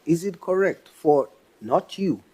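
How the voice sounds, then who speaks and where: A man's voice: a little high, lively intonation, slow pace, dark tone, breathy edge. A man questioning a witness at a committee hearing, pressing and insistent, repeating his question until it is answered. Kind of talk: parliamentary questioning.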